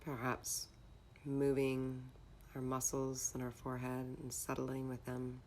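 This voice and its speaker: Super deep voiced lady doing a guided meditation, calm n measured, noticeable background noise.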